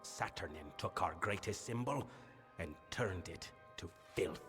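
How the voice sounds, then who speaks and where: A male actor voicing a grave, burdened fae king in a fantasy game, speaking formally and in a measured, solemn manner. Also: low voice, expressive. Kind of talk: scripted fantasy game dialogue. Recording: long reverberant hall, music behind. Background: music.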